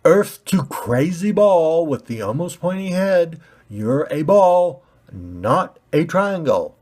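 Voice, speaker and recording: A man's voice: on the high side, sing-song, slow, a little mellow, voice pushed up, heavily acted. A man voicing a smug, rude, self-righteous storybook red ball, with a condescending, emphatic delivery.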